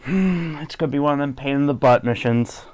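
A man's voice: on the high side, fairly quick, darkish tone, dry recording. A man giving casual, light commentary as he plays a video game, muttering offhand reactions and mild exclamations. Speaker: gamer commenting on his playthrough. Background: video game music.

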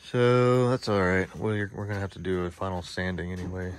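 A man casually talking through his boatbuilding project in a home garage workshop, relaxed and easygoing, recorded on a handheld phone. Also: sits low, fairly quick, clear, resonant tone. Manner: relaxed, easygoing, thinking aloud.

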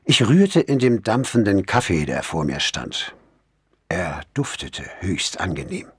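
A man narrating a crime novel in the first person, reading in a measured, expressive literary manner. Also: sits low, husky, no room sound, clean studio sound. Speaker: audiobook narrator.

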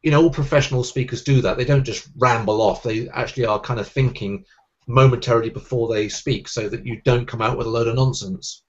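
Fast-talking Sussex-accented Englishman. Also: mid-range voice, highs cut off. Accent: Southern English (Sussex). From England. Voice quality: clear diction. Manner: fast, energetic talker, gestures while speaking. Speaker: magician guest. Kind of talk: casual video-call interview. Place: room at home, indoors. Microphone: webcam on a video call.

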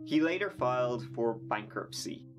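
A man's voice: medium pitch, animated, moderate pace, over music. Wry young man narrating true crime. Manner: wry, sarcastic asides. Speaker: documentary narrator.

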